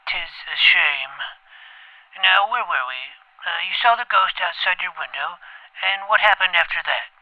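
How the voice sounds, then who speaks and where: A man playing a pompous, precocious twelve-year-old boy detective in an audio drama, formal and wordy, with a creaky voice. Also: sits high, animated, a little slow, dry recording, muffled recording. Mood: inquisitive. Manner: formal, verbose, clipped interrogator's delivery. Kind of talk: scripted audio drama acting.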